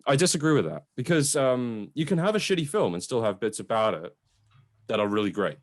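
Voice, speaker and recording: A man's voice: mid-range voice, sing-song, brisk. A man co-hosting a film review show, debating in a casual, argumentative and informal way. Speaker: film review podcast co-host.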